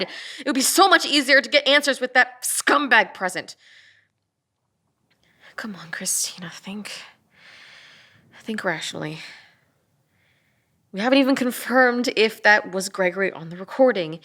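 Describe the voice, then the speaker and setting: A woman playing an earnest, exasperated detective with a sharp tongue in an audio drama table read. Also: fairly high pitch, full character voice. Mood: distressed, pleading. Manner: earnest, blunt, sardonic.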